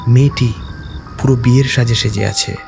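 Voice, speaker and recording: A man narrating a ghost story in the first person, in a measured and steady manner. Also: medium pitch, gravelly, over loud music.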